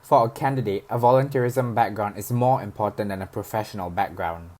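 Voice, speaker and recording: A man's voice: lowish voice, a little fast, darkish tone. A young man, presenting scripted introductions and prompts for a discussion show. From Singapore. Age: young adult.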